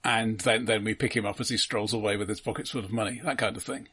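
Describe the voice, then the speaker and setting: Slightly husky man discussing films. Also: fairly low pitch, fairly even intonation, fast talker, a bit bright. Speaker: podcast co-host discussing films. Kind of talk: casual film discussion.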